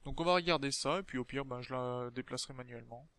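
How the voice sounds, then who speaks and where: A man's voice: mid-range voice, fast talker, a bit bright, dry recording. A man with a southern French accent, hosting a casual multiplayer game commentary with frequent hesitations. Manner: hesitant, many filler sounds. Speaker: let's play host.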